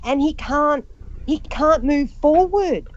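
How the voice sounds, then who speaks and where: Woman, panelist, high loud voice, exasperated, swears a lot, muffled audio. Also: expressive, slow pace. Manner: exasperated ranting, heavy swearing, self-described loud voice. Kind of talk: casual panel chat. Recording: dull lo-fi stream audio.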